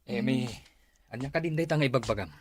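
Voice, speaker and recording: An actor playing a father in an Ilocano radio drama, remorseful and defensive of his child, with a husky voice. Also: mid-range voice, expressive, quick pace, close to an everyday voice. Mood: reproachful, firm.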